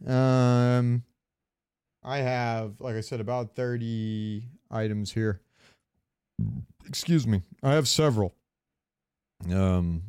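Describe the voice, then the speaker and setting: A man talking casually as a gaming podcast host, halting as he thinks aloud. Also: mid-range voice, darkish tone, clear, resonant tone, no room sound, clean studio sound.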